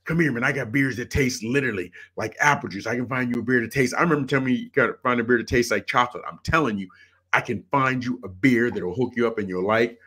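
A middle-aged man with a mid-pitched voice chats casually as a panelist on a sports podcast. He talks informally and repeats his phrases for emphasis, speaking from a room over a video call. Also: quick pace, sharp tone, slightly rough. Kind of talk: casual chat.

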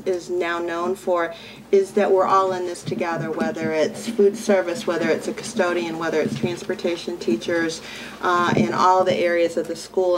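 A woman, the superintendent, giving an upbeat, earnest report to a school board meeting, with a few ums. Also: on the low side. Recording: noisy meeting-room recording.

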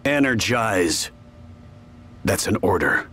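A man's voice: low-pitched, very animated, slow, a bit bright, slightly rough, heavily acted. A man playing a stern, middle-aged army general in a game cutscene, curt and commanding. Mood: cold, resolute.

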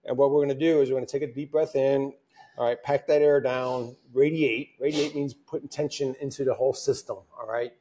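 A man's voice: mid-range voice, fairly even intonation, brisk, mellow, poor audio. A middle-aged man coaching a wrist mobility class in a home gym, giving cues and counting out holds, recorded by a camera some way off. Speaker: fitness coach.

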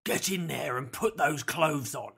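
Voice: mid-range voice, a little slow, vocal fry, fairly bright tone, husky, exaggerated voice. A narrator voicing a menacing, skinny grey man who sneers and growls as he gloats in a children's story. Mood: bossy, threatening. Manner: sneering, growling, repeats himself in gloating tags.

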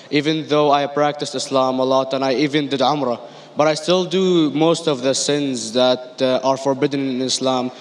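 A young man from Iraq asking a spontaneous question at a public lecture, hesitant and searching for words. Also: medium pitch. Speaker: audience member asking a question. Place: audience floor of a huge indoor auditorium. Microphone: stand microphone.

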